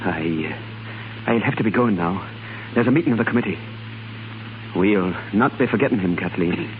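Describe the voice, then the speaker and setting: A young Irish leader of a cause, resolute and commanding. His pitch moves widely in stirring 1930s radio style. The sound is a thin vintage broadcast with a faint noise bed. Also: expressive, moderate pace, phone-quality sound. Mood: grave, gentle. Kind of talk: 1930s radio drama acting.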